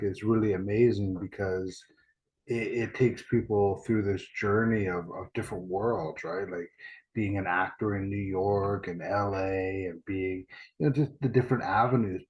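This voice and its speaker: Middle aged guy, dad jokes, laughs a lot, kinda low voice, muffled lo-fi audio. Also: fairly even intonation, moderate pace. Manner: jokey, laughing often. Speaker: podcast co-host, trainer. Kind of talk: casual chat. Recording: dull lo-fi online audio.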